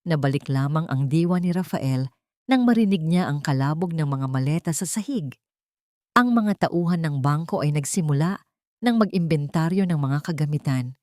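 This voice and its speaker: Woman narrator, lower-pitched, dry studio sound. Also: a little mellow, no room sound. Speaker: audiobook narrator. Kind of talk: dramatic scripted storytelling.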